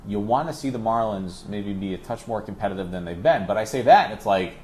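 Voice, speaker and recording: A man's voice: lowish voice, animated, quick pace, darkish tone, slight background noise. A man working as a radio play-by-play announcer, talking sports as a guest in an emphatic, conversational way with rhetorical questions. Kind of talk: animated sports discussion.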